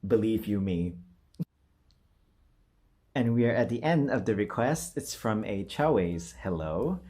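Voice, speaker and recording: A man's voice: on the low side, expressive, unhurried, warm tone. A young man talking casually to his viewers as a voice-acting creator, in a rambling, self-deprecating way. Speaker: voice actor and video creator.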